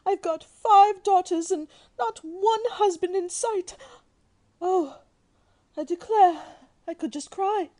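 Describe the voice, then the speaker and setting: A woman's voice: very high-pitched, slow pace, a little mellow. A woman playing a melodramatic, self-pitying middle-aged mother in a radio drama, wailing and overwrought. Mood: disappointed, lamenting.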